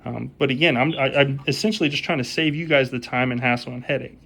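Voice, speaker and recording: Male contractor, phone negotiation. Forward, bright tone, persistent and repetitive delivery. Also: mid-range voice, fast talker, vocal fry, husky. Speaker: roofing contractor. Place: phone call.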